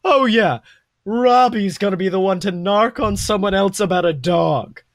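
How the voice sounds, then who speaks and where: A man's voice: high voice, moderate pace, no room sound, clean studio sound, pitched above the performer's own voice. A man voicing a flamboyant, prickly man, sarcastic and indignant, with exaggerated dramatic delivery, in a fan fiction reading. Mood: scoffing, animated. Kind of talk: fan fiction read aloud with character voices.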